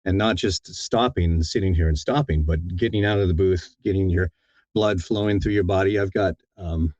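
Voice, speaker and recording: A man's voice: deep voice, normal speed, darkish tone, no echo, dull audio. A man being interviewed as an audiobook narrator, talking casually and thoughtfully, often repeating words as he feels his way along.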